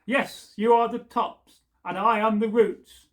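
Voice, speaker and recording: Storybook wee boy, slow and defiant, read by a man in a raised voice. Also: high voice, fairly even intonation. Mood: cheeky. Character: young wee boy answering a stranger.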